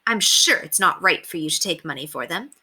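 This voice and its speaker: A woman's voice: fairly low pitch, lively intonation, brisk, sharp tone, husky, exaggerated voice. A woman voicing a prim, earnest young governess who speaks formally and properly in a storybook reading. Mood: indignant.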